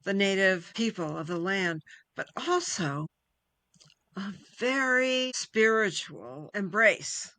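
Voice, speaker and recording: Woman, unhurried pace, bright clear-edged tone, earnest guest poet, conversational, dry sound. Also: mid-range voice, animated, no echo. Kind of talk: conversational interview about poetry.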